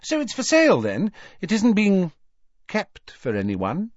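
Man voicing a high, brightly chatty teenage girl. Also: wildly swooping pitch, measured pace, no room sound, muffled recording, heavily acted. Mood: breezy, probing. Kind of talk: audiobook character voice.

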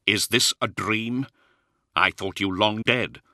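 A gaunt, brooding lord of knights, acted out by a male narrator. His low voice is rough and worn, and he speaks slowly and gravely, as a commander in a dark audiobook tale. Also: no echo, clean studio sound, heavily acted. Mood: wry, sombre. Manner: slow, deliberate, grave. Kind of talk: audiobook character acting.

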